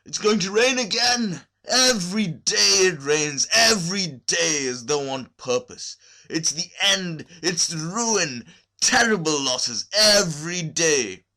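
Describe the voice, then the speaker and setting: Storybook role: despairing little theatre boss, played by a male reader, high, strained, loud, theatrical wailing, self-pitying. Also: animated, takes it slowly, exaggerated voice. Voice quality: thin falsetto-leaning voice, strained. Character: small thin despairing theatre manager, histrionic and self-pitying. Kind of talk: dramatised storybook reading.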